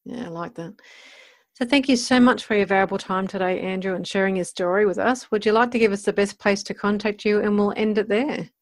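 Female podcast interviewer, medium pitch, conversational delivery, dry recording. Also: steady tone, brisk, no room sound.

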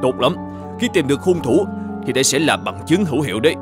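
A male actor plays a resolute magistrate in a cartoon folk tale. His mid-pitched voice moves widely in tone, formal and commanding, with music underneath. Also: sing-song, natural voice. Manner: authoritative, measured, formal. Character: upright, determined provincial governor and magistrate.

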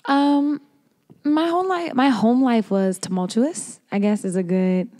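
A woman's voice: on the high side, unhurried, warm tone. Young woman from Oklahoma, candid and slangy podcast guest on a studio mic. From Oklahoma, USA. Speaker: podcast host, guest this episode. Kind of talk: casual interview chat. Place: small studio, on a couch. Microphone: studio mic on a stand, headphones.

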